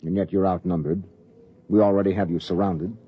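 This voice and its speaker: An actor playing a firm, reasonable spaceship captain with a measured, authoritative manner in a 1950s radio drama. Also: male voice, low voice, fairly even intonation, slightly rough. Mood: grave, reasoning. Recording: dull, hissy 1950s radio recording.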